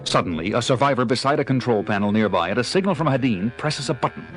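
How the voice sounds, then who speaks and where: Animated male narrator over loud music. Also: medium pitch, fast. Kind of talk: dramatic scripted narration of an audio drama.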